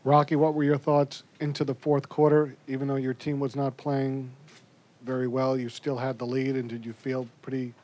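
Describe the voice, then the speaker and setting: Reporter dude, flat middle voice, keeps pushing with follow-ups. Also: male voice, mid-range voice, normal speed. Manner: pointed follow-up questions. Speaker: sports reporter.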